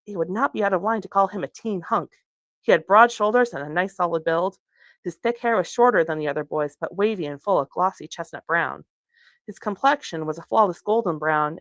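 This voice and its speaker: A woman reading narration from a young adult novel aloud in an expressive storytelling way. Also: lowish voice, no echo. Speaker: author reading from their book.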